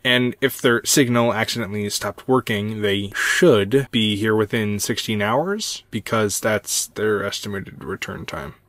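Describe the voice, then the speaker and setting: A man's voice: fairly low pitch, unhurried, hardly any pauses, fairly bright tone, no room sound. An actor playing a concerned, deferential male space traveler in a sci-fi audio drama, serious and slightly hesitant.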